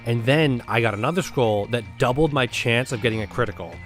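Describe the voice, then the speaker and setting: A man's voice: medium pitch, brisk. Man casually reviewing a game over music. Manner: blunt, rambling, conversational. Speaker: host of a video game review show.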